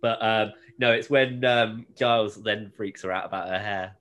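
A podcast host recapping a TV episode in casual, jokey chat, retelling scenes in an animated way. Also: mid-range voice, normal speed.

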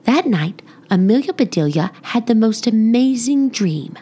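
A woman reading a children's storybook aloud as a scripted narrator, expressive and deliberate. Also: on the high side, breathy edge. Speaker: children's book narrator, podcast reader.

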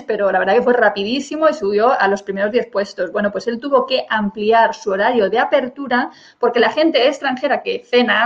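A woman from Spain who teaches English, hosting a casual conversation, thinking aloud with fillers and checking in with her listener. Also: a little high. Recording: dull lo-fi recording.

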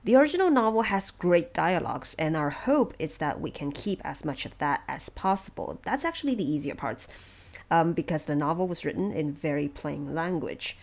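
Low-pitched woman presenting scripted notes. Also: expressive, normal speed. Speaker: audio drama producer and presenter. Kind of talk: scripted explanatory narration of production notes.

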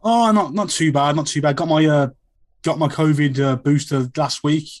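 A man's voice: fairly high pitch, normal speed. A man calling into a podcast, nasal and stuffed up from a sinus infection, rambling easily through his thoughts. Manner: easygoing, thinks aloud in rambling sentences. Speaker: caller. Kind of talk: casual chat.

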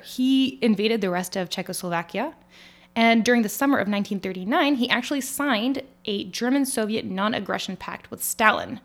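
A woman raised in France co-hosting a history podcast, giving clear, steady scripted narration in an explanatory tone. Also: mid-range voice. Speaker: podcast co-host.

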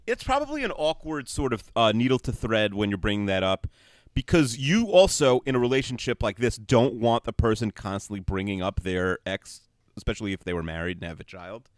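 A man with a low voice that swings up and down a lot, co-hosting a casual podcast. He rambles and restarts as he thinks aloud. His voice is recorded dry, with no room sound. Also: expressive, a little fast, dry recording. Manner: rambling, hesitant, many restarts. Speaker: podcast co-host.

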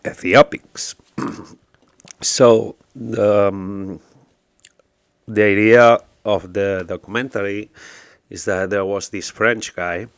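Low-voiced man, dry close sound. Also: slow pace, pauses often, smooth, no room sound. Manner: relaxed, hesitant, many fillers and restarts. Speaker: radio show co-host. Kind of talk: casual radio chat.

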